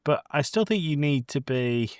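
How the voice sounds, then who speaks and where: A man's voice: a little high, expressive, moderate pace, clean tone, dry recording, studio quality. A man co-hosting a podcast in casual chat, playful and opinionated as he rambles along. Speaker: podcast co-host.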